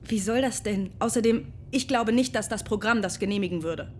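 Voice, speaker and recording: A woman's voice: mid-range voice, fairly quick, a little mellow, a little hiss or hum, lightly acted. A woman playing a curt, irritable space commander. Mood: irritated. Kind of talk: radio drama acting.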